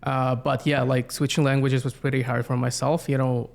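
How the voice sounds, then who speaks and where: A man in his thirties from Russia, whose first language is Yakut, speaking haltingly with many fillers as an interview guest. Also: mid-range voice, little pitch movement, gravelly. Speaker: guest, community planner. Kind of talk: casual interview chat.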